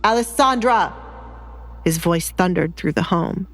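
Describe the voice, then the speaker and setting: Low-voiced woman narrating a dramatic story. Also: moderate pace, dry recording. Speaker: audiobook narrator. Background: background music.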